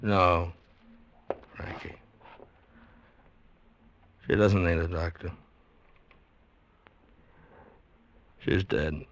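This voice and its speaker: A man's voice: sits low, steady tone, takes it slowly, vocal fry, dull audio, exaggerated voice. An American actor playing a hard-boiled, world-weary man in a vintage radio drama, terse and grave. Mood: somber. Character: hard-boiled, world-weary lovesick male protagonist telling his story. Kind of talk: 1940s radio drama acting and first-person narration. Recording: old-time radio recording.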